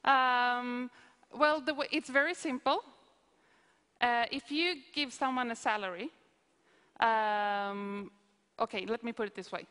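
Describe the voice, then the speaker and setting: A woman's voice: fairly high pitch, measured pace, pauses often, bright, forceful tone, clear, resonant tone. A woman with a Swedish accent, a conference speaker answering audience questions on stage, explaining with hesitant restarts and examples. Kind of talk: live Q&A on stage. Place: conference hall. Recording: reverberant conference hall.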